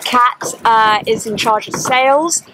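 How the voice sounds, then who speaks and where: A woman's voice: fairly high pitch, slow, noisy background. A woman skipper asking crew members short, friendly questions on a marina pontoon, recorded on a camcorder mic. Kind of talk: casual on-camera chat.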